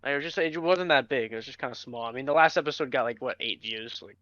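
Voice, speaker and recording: Young guy on a podcast, smooth voice, kinda lo-fi dry mic, loose slangy and blunt. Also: mid-range voice, fast talker, pushed and bright, no room sound. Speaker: podcast co-host. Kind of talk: casual chat.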